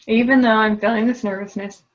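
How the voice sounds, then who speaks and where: A woman's voice: a little high, fairly even intonation, a little fast, lo-fi sound. A woman client repeating tapping phrases after her coach and hesitantly describing her nervousness. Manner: hesitant, searches for words.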